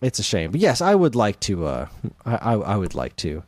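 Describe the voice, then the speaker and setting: A man's voice: lowish voice, huge pitch swings, moderate pace, darkish tone, no room sound. A man co-hosting a podcast, chatting casually about games in an enthusiastic, rambling, informal way. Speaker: podcast co-host.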